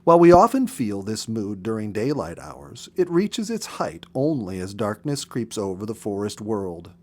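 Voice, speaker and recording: A man reading a story aloud as a storyteller, with expressive, unhurried phrasing and dramatic pauses. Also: on the low side. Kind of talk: expressive narrated audiobook reading.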